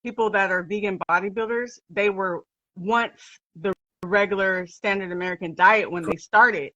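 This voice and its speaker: A woman's voice: mid-range voice, fairly even intonation, normal speed, pushed and bright, no room sound, highs cut off. A woman hosting an interview, asking questions in an enthusiastic, chatty way with casual slang. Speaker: podcast host.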